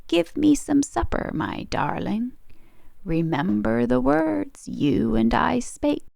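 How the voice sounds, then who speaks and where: A woman voicing an enchanted frog in a storybook, pleading insistently in a chanting rhyme with drawn-out endearments. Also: a little high, lively intonation, gravelly, darkish tone, no echo, pitched above the performer's own voice, heavily acted.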